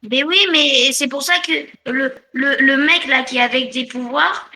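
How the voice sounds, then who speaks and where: High, bright, halting female gamer. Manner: halting, defensive, rambling. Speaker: new online roleplay game player. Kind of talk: casual gaming voice chat. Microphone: online voice chat microphone.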